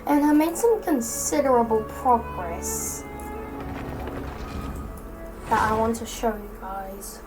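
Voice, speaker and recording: A woman's voice: a little high, animated, slow, pauses often, low-quality recording. Chatty young woman gaming host. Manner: chatty, offhand, joking. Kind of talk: casual gameplay commentary. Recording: commentary over loud game music.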